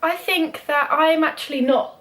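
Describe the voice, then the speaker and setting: A woman's voice: high voice, unhurried, a bit bright. A young British woman vlogging, talking casually and openly with many "like" fillers. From Britain. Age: young adult. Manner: chatty, lots of "like" fillers. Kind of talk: casual chat.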